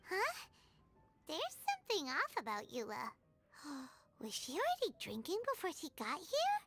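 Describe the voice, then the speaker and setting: A woman voicing a small, chirpy, childlike fairy companion with a squeaky voice in a video game. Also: huge pitch swings, a little slow, fairly bright tone, soft background music, full character voice. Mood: curious, concerned. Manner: refers to self by name in third person.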